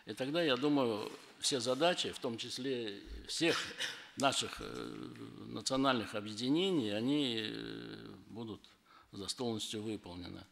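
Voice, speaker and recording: A man speaks at a conference, pausing often and filling gaps with hesitant sounds as he works through his points. His voice sits at an ordinary pitch and carries a long reverb tail from the hall. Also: gravelly, a bit bright. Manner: halting, many pauses and fillers. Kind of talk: conference talk.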